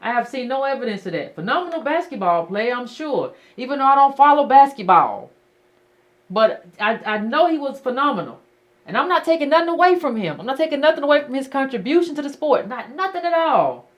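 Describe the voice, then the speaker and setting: A woman's voice: high-pitched, very animated, fairly quick. A woman hosting a call-in show, talking bluntly and emphatically and repeating her points to callers. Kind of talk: casual call-in talk.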